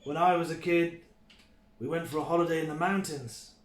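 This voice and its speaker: A man voicing a big tough billy goat father from a storybook, telling tales in a fatherly, measured way in an echoey room. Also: a little high, fairly even intonation, lightly acted. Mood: fond reminiscing. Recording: roomy, echoing sound.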